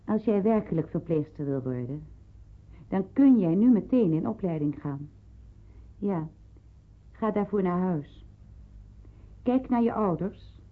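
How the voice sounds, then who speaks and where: Radio drama nurse: unhurried, emphatic, kindly yet admonishing; thin, narrow-band vintage sound. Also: female voice, medium pitch. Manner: deliberate, earnest, emphatic. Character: kindly, grave senior nurse, motherly and admonishing. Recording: muffled narrow-band old radio recording.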